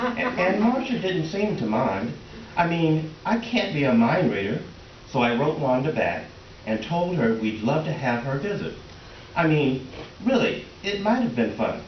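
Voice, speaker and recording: A man's voice: fairly high pitch, big pitch swings. A man playing a mild, defensive middle-aged husband in a readers theater comedy, matter-of-fact and a little awkward. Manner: matter-of-fact, defensive, halting when flustered. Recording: roomy, distant, noisy, dull stage recording.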